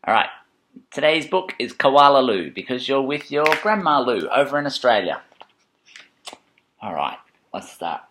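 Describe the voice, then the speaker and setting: A man reading a children's picture book aloud in an expressive, playful way, with chatty asides to a child. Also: mid-range voice, unhurried, long pauses, a little hiss or hum, rough recording. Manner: expressive, playful, dramatic storybook emphasis. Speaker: parent reading a bedtime story to a child.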